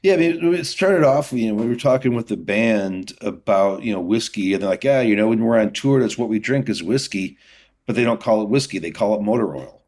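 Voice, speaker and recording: Low-voiced man chatting casually. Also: expressive, brisk, darkish tone. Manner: informal, explanatory, peppered with "you know". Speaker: guest, distillery founder and whiskey maker. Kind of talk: casual interview chat.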